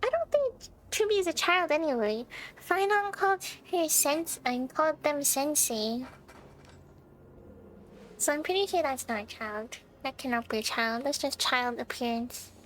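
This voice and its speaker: A woman's voice: sits high, lively intonation, unhurried, fairly bright tone, a little hiss or hum, poor audio. A woman giving casual, playful live commentary on a game, chattily thinking aloud as she plays. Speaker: game streamer. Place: indoor livestream setup with game music behind the voice.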